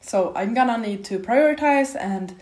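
A young woman in her twenties chatting casually to camera about books, her voice a bit raspy from a slight cold, rambling with lots of fillers. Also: on the high side, animated, normal speed, a little mellow. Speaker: book vlogger. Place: bare, half-furnished apartment room. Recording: echoey empty room.

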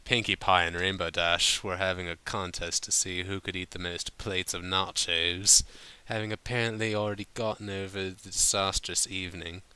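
A man's voice: low voice, fairly even intonation, moderate pace, hardly any pauses, pushed and bright, clear, resonant tone. A man reading a story aloud informally, sometimes stumbling over his words and breaking off to comment. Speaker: fan fiction reader.

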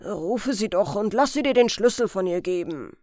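A woman's voice: mid-range voice, lively intonation, normal speed, a little mellow, no room sound, clean studio sound, natural voice. A woman voicing a flustered, commanding young policeman in an audiobook crime story, with urgently barked orders. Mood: tense.

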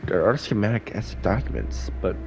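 A man's voice: fairly low pitch, animated, fairly quick, creaky, darkish tone, slight background noise. Stuffed-up guy gaming. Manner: reads character lines in his own voice, chatty asides, congested. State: stuffed-up nose. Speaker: gaming YouTuber. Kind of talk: casual let's play, reading game dialogue aloud.

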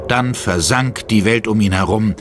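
A man's voice: fairly low pitch, moderate pace, noisy background. A man narrating a horror audio drama in a suspenseful, theatrical manner. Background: music.

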